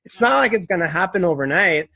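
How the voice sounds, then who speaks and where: Higher-pitched young man, earnest. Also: expressive, quick pace, gravelly, bright, forceful tone. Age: 20s. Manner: earnest, frequent "like" and "right?". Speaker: interview guest. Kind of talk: casual reflective interview talk.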